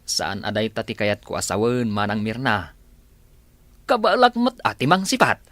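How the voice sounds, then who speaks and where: Man acting animated, cheeky Ilocano driver. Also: on the low side, moderate pace, no echo. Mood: boastful. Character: cheeky, boastful male driver and sidekick. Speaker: radio drama actor. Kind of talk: Ilocano radio comedy drama acting.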